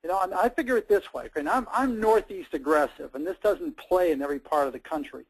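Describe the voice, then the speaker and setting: A man's voice: high voice, fast talker, breathy edge, low-quality recording. An American man hosting a sales coaching talk in a conversational, emphatic style, with punchy short sentences. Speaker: host, sales trainer.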